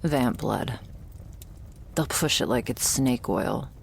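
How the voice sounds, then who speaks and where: A woman playing a jaded, wry, terminally ill shopkeeper who serves a vampire, speaking in an intimate, deadpan ASMR roleplay monologue. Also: slight background noise, heavily acted. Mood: dry, resigned. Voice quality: low, husky, gravelly. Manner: deadpan, sardonic, slow and pausing, swearing casually.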